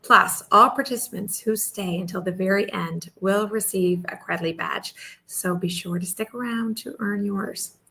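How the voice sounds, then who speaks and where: A woman's voice: mid-range voice, moderate pace, creaky, sharp tone, low-quality recording. A woman moderating an online panel, upbeat and welcoming, speaking over a video call.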